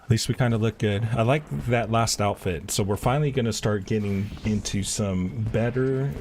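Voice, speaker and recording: A man doing casual live-stream game commentary, muttering to himself and sometimes reading dialogue aloud haltingly. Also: on the low side, darkish tone, a little hiss or hum.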